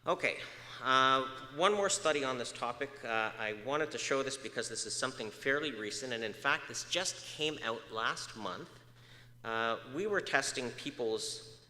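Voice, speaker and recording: A man's voice: mid-range voice, sharp tone. A man giving a public lecture, asking the audience questions, with many uh fillers and moments off the microphone. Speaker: research speaker. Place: large echoey lecture hall. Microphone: moves off mic at times. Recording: echoey hall reverb.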